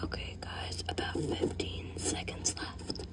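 A woman hosts ASMR in an unvoiced, breathy whisper. She takes her time, leaving words to hang, and coaxes the listener gently as she goes. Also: slow. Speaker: ASMR host.

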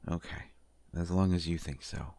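A man playing an affectionate, bashful partner in an intimate roleplay audio, talking haltingly with stammers and gentle teasing. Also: low voice, no room sound. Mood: tender, halting. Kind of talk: intimate roleplay audio acting, one side of a conversation.

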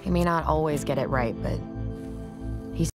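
A moody young hellhound woman from a cartoon. Her voice is low, with music clearly underneath. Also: moderate pace. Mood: guarded. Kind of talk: animated series acting.

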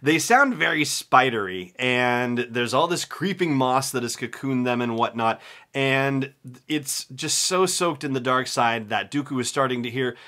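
A man's voice: medium pitch, a little slow, sharp tone. A man in his forties talking casually as he hosts a podcast on his own from a room at home. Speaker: podcast host. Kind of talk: casual solo podcast commentary.

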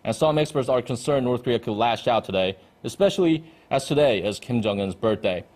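A man's voice: medium pitch, lively intonation, brisk, darkish tone. Male correspondent giving a live report. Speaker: news correspondent. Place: indoor ministry briefing room. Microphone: handheld-style reporter mic on desk.

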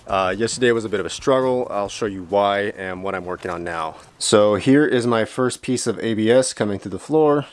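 A man's voice: lowish voice, fairly quick, hardly any pauses. A man in his thirties, a van build vlogger, casually explaining his DIY plumbing work to a close handheld camera in a garage workshop, chatty and self-deprecating. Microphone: handheld camera close to face.

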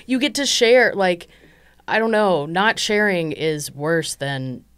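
A young woman with a low, smooth voice, easygoing and curious, asking questions and laughing along with her guests. She speaks into a boom mic in a small studio. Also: expressive, slow, fairly bright tone. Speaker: podcast host. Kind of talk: casual chat. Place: small podcast studio with colored lights. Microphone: studio mic on boom arm, headphones.

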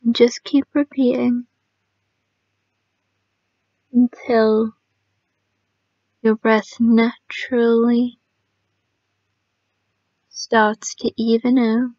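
Slow, soft, creaky-voiced woman guiding meditation. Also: fairly high pitch, dry recording. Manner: soft, calming, often trailing into near-whisper. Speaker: guided meditation narrator. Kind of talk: guided sleep meditation. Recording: dry, slightly muffled lo-fi sound.

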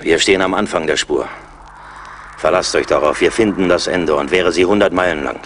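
Deep-voiced seasoned frontier scout. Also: male voice, fairly quick, a bit bright, slight background noise. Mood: confident. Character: seasoned frontier scout, confident, wry, steady leader. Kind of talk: German radio play acting.

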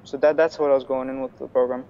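A young man from New York, a student guest in a casual video-call interview, talking in a relaxed way with many fillers and restarts. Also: medium pitch, fast, clean tone. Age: young adult. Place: small room indoors. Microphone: earphone headset on video call. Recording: slightly dull video call audio.

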